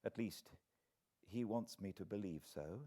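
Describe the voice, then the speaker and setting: A man's voice: lowish voice, a little slow, dark tone. A British man narrating a classic novel as a dramatic audiobook reading. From Britain.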